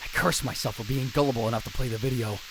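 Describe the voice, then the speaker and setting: A man's voice: medium pitch, fairly even intonation, racing, noisy background, close to an everyday voice. A man playing a dramatic, paranoid young self-styled mad scientist in a visual novel fan dub, theatrical, brooding and self-important. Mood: brooding, suspicious. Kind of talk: visual novel fan dub, first-person narration and dialogue.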